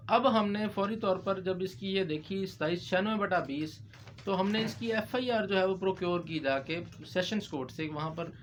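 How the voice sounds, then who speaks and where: Man, Pakistani accent, high-pitched voice, informal legal explainer, English terms mixed in, faint background noise. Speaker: lawyer presenting a legal explainer.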